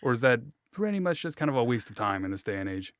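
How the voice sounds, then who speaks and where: A man's voice: medium pitch, big pitch swings, fast, smooth. A man hosting an interview, asking playful questions in an easygoing, joking way with many fillers. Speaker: interviewer, host.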